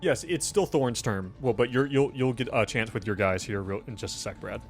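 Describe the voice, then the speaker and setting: A man with a middle-pitched voice acts as game master at a tabletop game. He improvises the scene conversationally and lays out rules in detail, with faint music playing behind him. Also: brisk.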